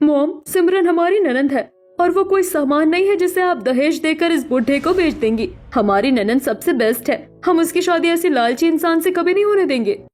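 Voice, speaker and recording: Lady doing a protective young bahu in a cartoon story, high voice, assertive, light music behind. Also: normal speed, a little mellow. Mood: defiant. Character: firm, protective young daughter-in-law.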